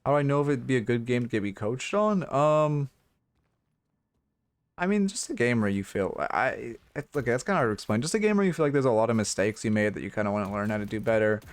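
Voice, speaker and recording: A hoarse man giving blunt, rambling game coaching notes on a livestream, full of fillers and restarts. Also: medium pitch, lively intonation, fast, no echo. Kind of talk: casual livestream coaching talk.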